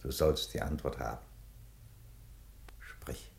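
A man's voice: a bass voice, steady tone, takes it slowly, vocal fry, reverberant, exaggerated voice. A man playing a solemn, defiant, fatherly Roman emperor in a theatrical radio drama, declamatory in manner. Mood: calm, solemn. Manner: solemn, declamatory, from whispers to thunder.

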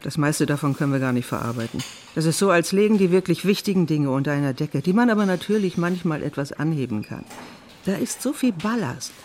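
A woman's voice: deep voice, animated, fairly quick, darkish tone, slight background noise. A woman playing an earnest, self-absorbed art therapist and patient who lectures insistently in an audio drama. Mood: lecturing, intense. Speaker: actor in a drama. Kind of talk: dialogue acting in an audio drama.

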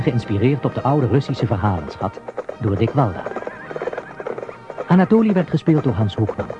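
A man reading scripted closing credits as a radio drama announcer. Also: medium pitch. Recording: dull radio recording. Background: music.

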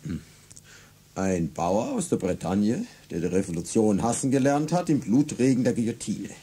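An actor playing a bitter, sardonic peasant revolutionary in a German radio drama, reciting a cover story. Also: male voice, fairly low pitch, very animated, moderate pace, dark tone, slightly rough, exaggerated voice. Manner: sardonic, rehearsing a role aloud.

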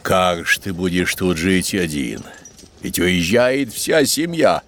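An actor playing a careworn middle-aged father, a gently apologetic shopkeeper with a gravelly voice, in an audio drama. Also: low voice, very animated, slow pace, exaggerated voice. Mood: heavy, tender. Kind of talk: Russian audio drama acting.

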